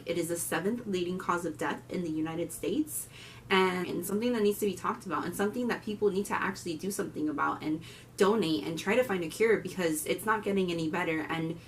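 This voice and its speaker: A woman's voice: on the low side, recorded from a distance, slight background noise, poor audio. Chatty Miami woman in her twenties. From Miami, Florida. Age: 20s. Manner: chatty, candid, swings into loud bursts. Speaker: vlogger. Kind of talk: casual talk to camera.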